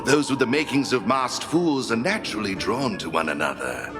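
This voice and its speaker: A man's voice: mid-range voice, expressive, moderate pace, over music, natural voice. An actor playing a flamboyant, theatrical male tavern caretaker in a game, talking like a grand showman. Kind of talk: game voice acting.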